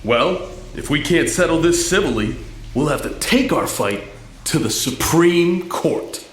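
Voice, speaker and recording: An actor playing an earnest, impassioned mutant rebel leader in a cartoon drama, solemn and emphatic. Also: male voice, a little high, expressive, a little slow. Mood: firm, commanding. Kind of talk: animated audio drama acting. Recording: roomy, faint background noise.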